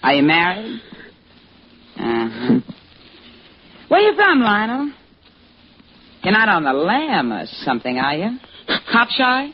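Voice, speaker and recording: Old hissy radio play, a teasing american bar dame, slangy n sly, lively melody to her voice, muffled. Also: medium pitch, takes it slowly, pauses often. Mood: flirtatious, probing. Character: flirtatious, worldly barroom woman, coolly after money. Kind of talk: 1940s-50s American radio drama acting.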